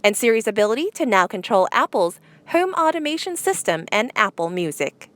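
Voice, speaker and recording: A woman's voice: on the high side, big pitch swings, brisk, fairly bright tone, no echo. A woman presenting news headlines, reading from a script.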